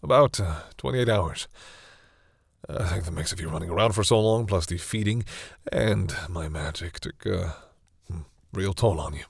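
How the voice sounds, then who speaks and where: A man playing a centuries-old vampire in an intimate audio roleplay, speaking formally but haltingly in a husky, breathy voice. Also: sits low, dry recording, clean studio sound, heavily acted. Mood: concerned, hesitant. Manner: hesitant, halting, formal phrasing. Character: centuries-old male vampire, courteous, hesitant, commanding with servants.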